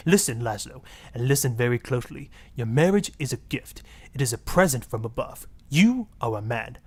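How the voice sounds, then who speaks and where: A man's voice: medium pitch, animated, normal speed, a little mellow. A man voicing a suave, sleazy marriage guru with a Spanish accent, florid, seductive and pompous, in a satirical game radio style. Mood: seductive, preachy. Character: suave, sleazy Latin male marriage guru, grandly passionate.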